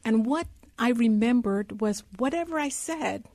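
A middle-aged woman from Guyana telling personal stories as an interview guest, with deliberate, emphatic phrasing. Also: on the high side, animated, mellow, no echo. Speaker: interview guest, consulting executive.

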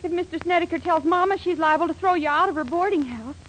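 A lightly hissy vintage radio recording features a young American woman with a high, girlish voice. She is plucky and brisk, always scheming. The acting is in 1940s sitcom style. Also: fairly bright tone, rough recording. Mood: worried, exasperated. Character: plucky, scheming young sweetheart living with her mama.

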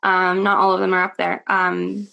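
Young woman, gravelly fry, chatty interviewee with easy laughs. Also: lowish voice, completely flat delivery, moderate pace, sharp tone, lo-fi sound. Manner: chatty, laughs often, many ums. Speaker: interview guest, stage performer. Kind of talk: casual interview chat.

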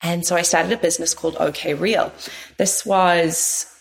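Low-pitched new lady, kinda gravelly, talks things through with lots of "kind of". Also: steady tone, normal speed. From New Zealand. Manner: thoughtful, frequent hedges like "kind of". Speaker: guest, founder and consultant. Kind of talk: reflective interview conversation.

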